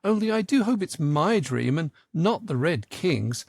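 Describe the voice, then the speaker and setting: A man's voice: on the high side, very animated, measured pace, vocal fry, darkish tone, husky, no room sound, clean studio sound, voice pushed up, exaggerated voice. A man voicing a young girl in a children's storybook who muses in a wondering, mildly complaining way. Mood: musing, complaining.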